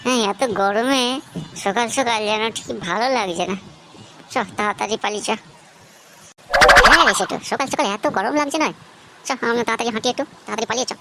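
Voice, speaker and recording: A high-voiced, foul-mouthed cartoon boy performed by a woman speaks slangy West Bengal Bengali. He is cheeky and coarse, and a little noise sits behind the voice. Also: fast, pauses often, natural voice. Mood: grumbling. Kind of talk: comedy cartoon dialogue.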